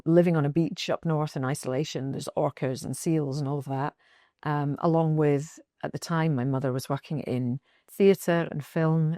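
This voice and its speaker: A woman's voice: low-pitched, darkish tone, no room sound, clean studio sound. A Scottish woman in her forties from the Shetland Islands, a podcast guest talking enthusiastically and rambling a little with restarts. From Shetland Islands, Scotland. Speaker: guest, fractional marketing chief. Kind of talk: casual interview chat.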